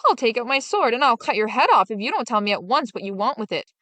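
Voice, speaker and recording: A woman's voice: a little high, big pitch swings, fast talker, pushed and bright, dry recording. A woman voicing a brash, greedy male soldier in a storybook, blunt, cocky and demanding.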